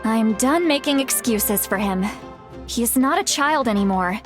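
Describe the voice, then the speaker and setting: Casting: earnest, responsible young female game warrior, higher-pitched, sincere delivery. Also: expressive, unhurried. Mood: resolute. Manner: sincere, resolute. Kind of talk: English video game voice acting. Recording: soft game music behind the voice.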